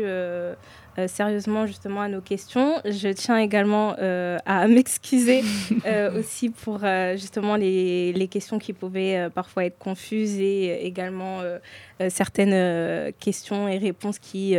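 A woman hosts a radio discussion in a mid-pitched voice. Her phrasing is hesitant and halting, and the sound is very dry and close, with no room echo. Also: fairly even intonation, darkish tone. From France. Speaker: radio show host. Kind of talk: hosted discussion, semi-prepared.

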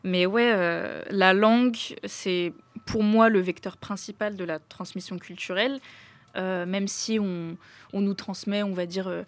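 A woman's voice: mid-range voice, fairly even intonation, unhurried, no room sound. A young woman talking casually and expressively in an informal, slangy group discussion.

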